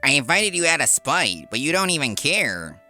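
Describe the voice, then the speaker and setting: Man acting smug, sharp-voiced spiteful younger brother. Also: fairly high pitch, sing-song, moderate pace, full character voice. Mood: smug, mocking. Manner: boastful, sneering. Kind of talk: manga voice acting. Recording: music behind the voice.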